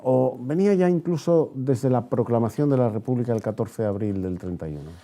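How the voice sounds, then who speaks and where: A man hosts a radio programme. His voice is mellow and mid-pitched, and he has the formal manner of a news announcer. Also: fairly quick. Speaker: radio host. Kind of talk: radio news bulletin and interview presenting.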